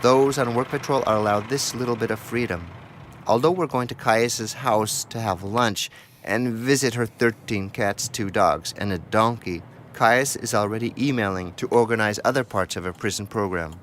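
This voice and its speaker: A man's voice: on the low side, moderate pace, fairly bright tone. A man working as a radio documentary narrator and interviewer. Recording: clear vehicle and ambient noise.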